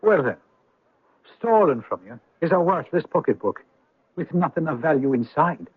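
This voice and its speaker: Teasing police sergeant, swooping pitch. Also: male voice, sing-song, moderate pace, breathy edge, no echo. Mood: probing, puzzled. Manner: mock-official, wry, questioning. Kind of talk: old-time radio drama acting. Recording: dry vintage radio recording, muffled.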